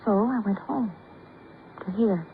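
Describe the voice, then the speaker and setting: A woman's voice: mid-range voice, a little hiss or hum. Heartbroken woman, tinny old radio. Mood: wistful, hurt. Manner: halting, dramatic, clipped poetic phrasing. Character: hurt, love-sick woman, wistful and fragile. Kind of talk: 1940s-50s radio drama acting.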